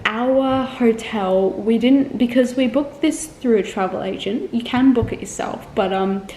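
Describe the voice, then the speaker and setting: Young aussie woman rambling about travel plans, mellow tone, slight room hum. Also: fairly high pitch, measured pace. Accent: Australian. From Australia, Melbourne. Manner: chatty, rambling, frequent ums and self-corrections. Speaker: travel vlogger. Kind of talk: casual chat to camera. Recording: echoey room with faint ambient noise.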